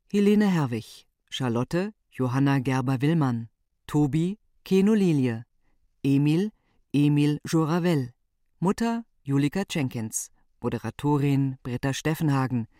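A man's voice: on the high side, darkish tone, no echo. Dry-sounding male credits announcer.

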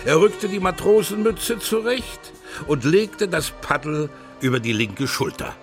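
A man narrating a children's radio play, telling the story deliberately in short, measured phrases. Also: on the high side, expressive, soft background music.

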